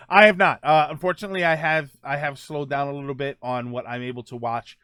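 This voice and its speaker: A man's voice: on the high side, fairly even intonation, fairly bright tone, dry recording, studio quality. A man hosting a gaming livestream, talking casually and answering viewer comments, often rephrasing his points as he goes. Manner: halting, circles back and rephrases points.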